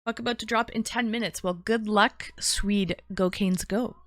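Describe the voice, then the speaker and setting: A woman's voice: medium pitch, fairly even intonation, moderate pace, a bit bright, dry recording, studio quality. A woman with a Canadian accent hosting a livestream in casual, chatty talk, informally addressing her viewers and often repeating her phrases. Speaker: livestream host.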